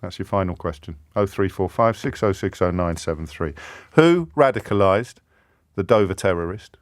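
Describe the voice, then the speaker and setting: A man hosting a radio phone-in with an English accent, giving a forceful, rhetorical monologue that keeps repeating its questions. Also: deep voice, lively intonation, moderate pace, no echo, studio quality. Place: radio studio. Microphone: studio microphone.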